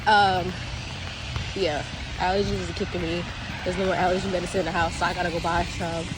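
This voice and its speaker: A woman's voice: fairly low pitch, level tone, noisy background. A young woman vlogging on her phone outdoors, chatty and exasperated, sounding congested. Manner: chatty, exasperated, drawn-out complaints. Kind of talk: casual vlog to phone camera. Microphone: phone camera.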